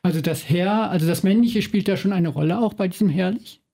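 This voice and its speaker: A man interviewing a writer in a radio studio, asking measured questions with frequent hesitations. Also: high-pitched, creaky, warm tone, husky.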